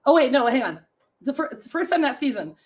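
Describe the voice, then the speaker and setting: Middle-aged woman, raspy voice, a touch high; casual live-stream host reading trivia to viewers. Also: big pitch swings, fast talker. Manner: chatty, laughs often, reads facts and stories to viewers.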